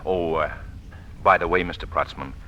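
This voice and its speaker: Forceful, sharp-voiced low suave gentleman sleuth. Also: fairly even intonation. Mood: urbane, composed. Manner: measured, urbane, theatrical. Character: suave, knowing gentleman sleuth, calm and amused. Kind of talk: 1940s radio drama acting. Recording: old radio recording.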